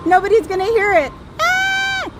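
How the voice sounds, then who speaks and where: A woman with a high, piercing voice chats through a casual livestream, rambling from thought to thought and needling her listeners with sarcastic questions. She is recorded outdoors by a street, with loud traffic and passing cars heard behind her. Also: sing-song, moderate pace. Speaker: livestream host.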